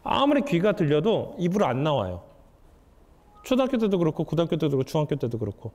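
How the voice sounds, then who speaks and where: A man's voice: a little high, huge pitch swings, fast talker, a little mellow. A young adult man giving a lecture, asking the audience questions in a candid, conversational way. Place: lecture hall. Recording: hall reverb.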